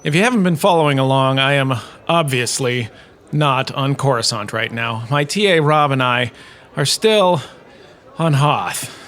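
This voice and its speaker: A man's voice: medium pitch, animated, a little slow, a bit bright. Man playing a vain, pompous male professor. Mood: wry, chatty. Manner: pompous, wry, chatty. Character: vain, self-important male professor and holodrama star. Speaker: lecturer. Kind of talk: comic in-character lecture.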